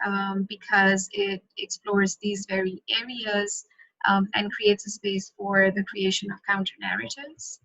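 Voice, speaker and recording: A woman in her thirties from Pakistan giving a measured conference presentation, with frequent ums. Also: medium pitch, sharp tone, dry recording. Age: 30s. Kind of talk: academic presentation. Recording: muffled lo-fi audio.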